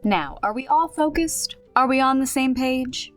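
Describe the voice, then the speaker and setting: A woman playing a composed, patient but firm storyteller in a fantasy audio drama, explaining with gentle authority. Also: high-pitched, a little slow, loud music behind the voice. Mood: organizing.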